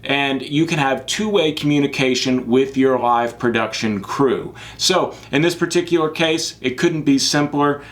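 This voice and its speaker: Chatty man reviewing gear to camera. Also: medium pitch. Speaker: product reviewer, live sports production crew. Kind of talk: casual semi-scripted gear review to camera.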